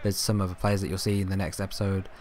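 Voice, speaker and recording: A young man with a hoarse, fading voice, chatting casually over his football game footage, rambling with lots of ums and restarts. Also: low-pitched, level tone, quick pace, darkish tone. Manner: rambling, filler-heavy, self-correcting. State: hoarse, coughing, voice going. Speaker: gaming YouTuber. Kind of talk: casual gameplay commentary.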